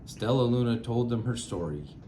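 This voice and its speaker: A man narrating a children's storybook aloud in a measured, expressive way. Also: mid-range voice, reverberant, a little hiss or hum.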